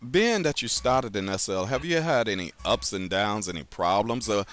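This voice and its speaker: Male talk show host, low voice with big swings in pitch, conversational interviewing with halting phrasing. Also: lively intonation, fairly bright tone. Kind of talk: hosting a chat interview in a virtual world.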